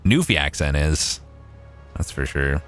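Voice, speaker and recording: Low-voiced Canadian man chatting while gaming. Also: animated, unhurried, fairly bright tone, faint music behind. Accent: Canadian, Maritime. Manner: chatty, swears casually, thinks aloud while playing. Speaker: streamer. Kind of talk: casual gameplay livestream chat.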